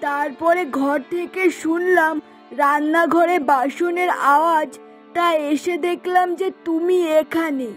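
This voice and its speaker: Meek older cartoon mother, female performer. Very high pitch, unhurried delivery, soft-mannered and caring. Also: steady tone, soft background music. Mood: hesitant, meek. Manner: hesitant, gentle, accommodating. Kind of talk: cartoon dubbing.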